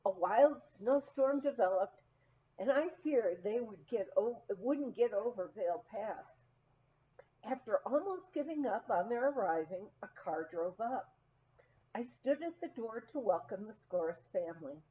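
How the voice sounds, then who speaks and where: Woman reading a memoir, slow and mellow. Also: a little high, lo-fi sound. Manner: expressive, unhurried storytelling with acted quotes. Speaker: audiobook reader. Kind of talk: scripted narration of a humorous memoir.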